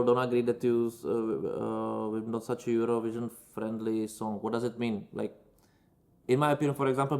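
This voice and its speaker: A man's voice: medium pitch, vocal fry, darkish tone. A man from Czechia with a Czech accent, hosting a casual Q&A and giving strong opinions in loose, non-native English with frequent restarts. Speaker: channel host answering viewer questions.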